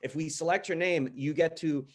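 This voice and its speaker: A man's voice: fairly high pitch, moderate pace, darkish tone. A man hosting a casual promotional chat, informal and often repeating himself for emphasis. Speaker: interviewer and host. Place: virtual studio online call.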